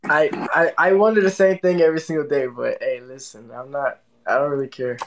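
A man's voice: on the high side, steady tone, a little fast, crowd noise. A young man from Florida hosting a casual phone livestream, thanking viewers for gifts and teasing his guest. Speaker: livestream host. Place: indoor room.

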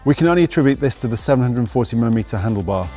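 A young man narrating a scripted mountain bike review in a brisk, technical, matter-of-fact way, speaking outdoors in woodland on a lapel mic. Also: mid-range voice, lively intonation. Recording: faint music underneath.

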